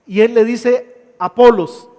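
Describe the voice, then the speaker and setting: Male preacher, very high voice, emphatic repetition, long church reverb. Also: steady tone, slow, dark tone. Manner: emphatic, repeats phrases in lists. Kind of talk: sermon. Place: church hall.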